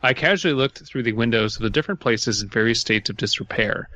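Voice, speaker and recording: A man with a mid-range, bright voice co-hosts a casual podcast. He jokes colloquially and reads story passages between bits of banter. The sound is very dry and slightly dull, as on a lo-fi recording. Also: mid-range voice, fast, no room sound. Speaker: podcast co-host.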